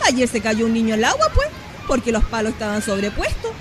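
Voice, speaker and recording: A woman playing a worried, protective, poor mother and neighbour in a radio drama, colloquial and expressive with a Chilean accent. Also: fairly high pitch, fairly quick, music in the background, crowd noise. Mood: worried, scolding. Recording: clear ambient noise behind voice.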